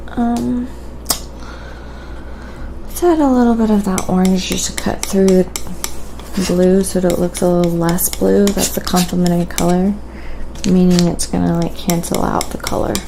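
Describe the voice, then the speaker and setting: A soft-spoken woman hosting a casual, quiet ASMR painting livestream, thinking aloud in an unhurried way as she works. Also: lowish voice, gravelly, noisy background.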